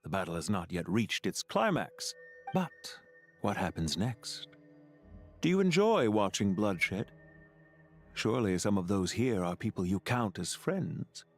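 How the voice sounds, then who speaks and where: A man voicing a smooth, calculating video game villain who speaks with polite, deliberate menace. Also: lowish voice, very animated, faint music behind, full character voice. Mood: quietly menacing. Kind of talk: scripted video game voice acting.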